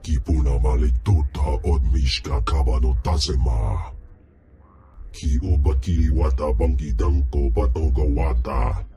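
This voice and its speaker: A man voicing a huge, pompous alien slug crime lord in a video game, booming in an invented alien tongue. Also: a little slow, music in the background. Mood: angry. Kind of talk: video game creature acting.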